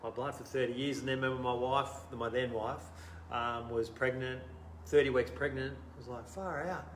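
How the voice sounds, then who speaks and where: A man in his thirties with an Australian accent, the guest in a casual interview, telling his story animatedly, laughing often and trailing off quietly. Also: medium pitch, fairly even intonation, moderate pace, reverberant, slight background noise. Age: 30s.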